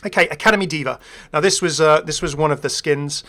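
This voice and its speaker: A man's voice: a little high, fairly quick, bright, forceful tone. A man hosting a gaming video, giving casual, rambling, chatty commentary.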